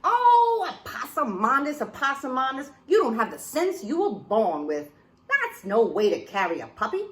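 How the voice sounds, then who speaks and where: A woman reading aloud, voicing an exasperated mother possum who scolds her son in a high, sing-song voice. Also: big pitch swings, moderate pace. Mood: scolding.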